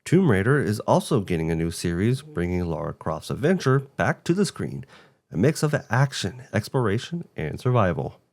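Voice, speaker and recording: A man's voice: lowish voice, very animated, normal speed, darkish tone. A man co-hosting a video game show, reading a scripted presentation. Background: background music.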